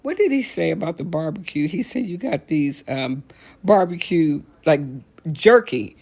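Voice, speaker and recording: A middle-aged American woman hosting a casual talk show, telling stories in a blunt, animated, colloquial way and repeating phrases for emphasis. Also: low-pitched, unhurried. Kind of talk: casual comedic rant with storytelling.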